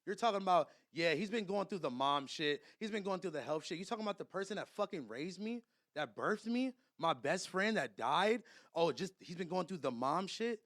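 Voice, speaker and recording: A man's voice: high-pitched, lively intonation, a little fast, fairly bright tone, no echo, clean studio sound. A man in a heated podcast argument, speaking confrontationally with a lot of profanity and repeating himself.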